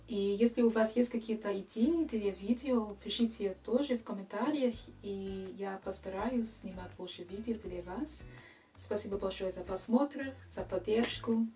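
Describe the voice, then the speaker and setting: A young woman from England, a native English speaker, with an English accent, answering viewer questions in a casual vlog and pausing often with hesitant fillers. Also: mid-range voice, dark tone, rough recording. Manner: slow, careful learner's speech with frequent hesitations.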